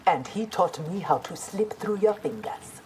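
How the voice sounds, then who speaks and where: A woman with a Nigerian accent playing a proud, principled armoured ninja in a video game, speaking in measured, formal, defiant lines. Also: medium pitch, animated, background noise, natural voice. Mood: confident, firm. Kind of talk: video game fight dialogue.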